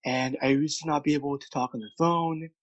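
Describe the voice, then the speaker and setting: A man's voice: a little high, steady tone, a little fast, no room sound, muffled recording. A man who stutters, with repeated words and many fillers, speaking candidly as a guest about his work as a speech coach. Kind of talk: candid interview conversation.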